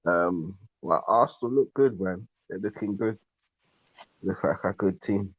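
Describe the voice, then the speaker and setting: A dull, dry recording of a man with a very low, husky voice. He chats casually as a football panellist. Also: measured pace, no echo. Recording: dull, close lo-fi microphone.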